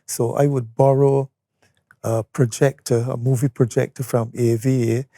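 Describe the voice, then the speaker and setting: A Barbadian man in his seventies reminiscing conversationally as an interview guest, unhurried and pausing as he tells his story. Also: mid-range voice, little pitch movement, mellow, no room sound, studio quality. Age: 70s. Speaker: interview guest, retired or veteran educator. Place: studio.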